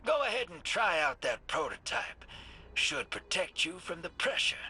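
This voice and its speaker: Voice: mid-range voice, sing-song, normal speed, sharp tone, breathy edge, heavily acted. An actor playing a breezy, cocky rogue in a video game, with a folksy, slangy, playful way of talking. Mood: easygoing briefing. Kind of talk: casual video game character acting.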